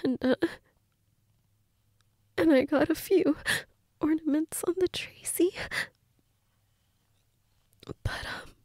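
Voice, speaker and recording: A woman acting a grieving girlfriend in an intimate audio role-play, crying and fragile, halting and repeating herself, often dropping to a whisper. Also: sits high, dark tone, no room sound, clean studio sound. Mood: tearful, drained. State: crying, sniffly, breathy from tears. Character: grieving girlfriend, tearful and fragile, insisting she's fine.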